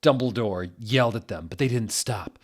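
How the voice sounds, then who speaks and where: A man giving a comic dramatic reading of a story, theatrical and deliberate, with incredulous asides. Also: mid-range voice. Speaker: dramatic reader of bad fan fiction.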